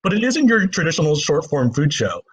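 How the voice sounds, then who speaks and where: A man hosts a panel with a fairly high voice and very lively intonation. He delivers prepared introductions and hands over to guests. There is clear noise behind him. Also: a little fast. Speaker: journalist moderating a panel.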